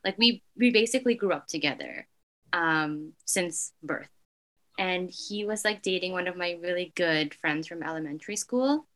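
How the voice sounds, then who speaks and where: A woman's voice: lowish voice, expressive, normal speed. A young woman from Canada answering interview questions in a casual, reflective way, full of "like" fillers. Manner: frequent "like" fillers, soft and hesitant.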